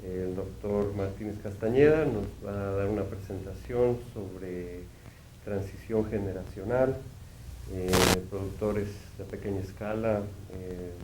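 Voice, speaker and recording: Deep-voiced man giving a formal introduction. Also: creaky. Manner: hesitant, many fillers. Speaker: program associate director introducing speaker. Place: university lecture hall. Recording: echoey hall, faint hum.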